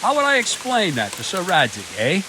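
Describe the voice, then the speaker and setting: Scolding, high-pitched, animated veteran soldier. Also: male voice, brisk, background noise. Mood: sarcastic, scolding. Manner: scolding, sarcastic, domineering. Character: gruff bullying veteran castle captain berating a subordinate. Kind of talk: video game cutscene acting.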